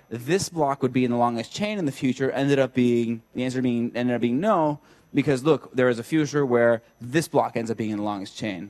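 Young man, meetup speaker, mid-pitched voice, halting explanation, fillers and restarts, drawing on a flip chart, lapel mic. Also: a little mellow. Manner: thinking aloud with many fillers and restarts. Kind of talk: whiteboard technical talk. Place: meetup room with a projector.

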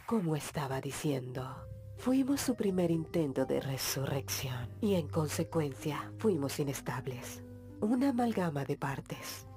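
A woman voicing a composed, stern animatronic leader, measured and formal, in a Latin American animated fan dub. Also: deep voice. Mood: grave. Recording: music behind the voice.

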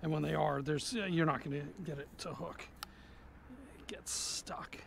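A man's voice: a little high, fairly even intonation, measured pace, vocal fry, a little hiss or hum. A man vlogging while fishing on an outdoor pier, chatting casually and muttering to himself, recorded on a head-mounted action camera. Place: outdoors on a pier over an inlet.

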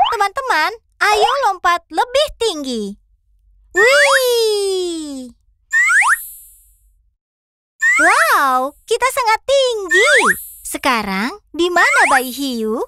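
A woman's voice: extremely high pitch, big pitch swings, slow, very noisy, exaggerated voice. A woman voicing a cheerful, curious little fox who hosts a children's cartoon with enthusiasm and encouragement. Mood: excited. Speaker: host guiding young viewers. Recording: children's music behind.

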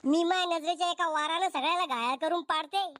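Man voicing a brazen, squeaky cartoon teenage girl. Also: a little fast, sharp tone, dry recording. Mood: cocky, threatening. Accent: Vidarbha Marathi. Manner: cocky, insolent. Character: brazen, rude teenage girlfriend, boastful and threatening. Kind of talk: comic cartoon dialogue.

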